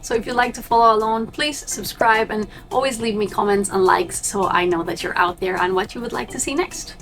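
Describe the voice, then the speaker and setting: A woman's voice: mid-range voice, a little fast, a bit bright, soft background music. A young woman from Argentina, a native Spanish speaker, chatting upbeat and direct to her viewers as a cruise ship crew member vlogging. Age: young adult. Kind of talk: casual vlog to camera.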